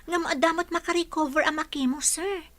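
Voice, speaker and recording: A woman's voice: very high voice, steady tone, a little fast, fairly bright tone. An actress playing a caring, persistent female caregiver in a radio drama, speaking respectfully. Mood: gently coaxing. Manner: pleading, respectful. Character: caring, persistent young female caregiver coaxing her patient. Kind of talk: Ilocano radio drama acting.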